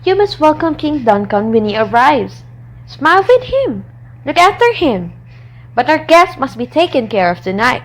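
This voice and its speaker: A woman playing an ambitious, ruthless noblewoman in a radio drama, deliberate and commanding in delivery. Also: high-pitched, very animated, rough recording. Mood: cold, commanding. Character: Lady Macbeth, ambitious, ruthless scheming noblewoman wife.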